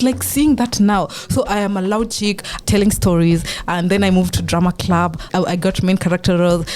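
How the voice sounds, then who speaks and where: A young Kenyan woman in her twenties with a loud, big voice, hosting her own podcast in bubbly casual chat and mixing in Swahili slang. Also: medium pitch. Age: 20s. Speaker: podcast host.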